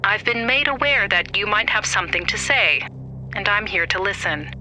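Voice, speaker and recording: A woman playing a calm, reassuring doctor in an audio horror drama, measured and gently probing. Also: mid-range voice, slight background noise, poor audio. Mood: calm, attentive. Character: calm, reassuring female doctor interviewing a traumatized patient.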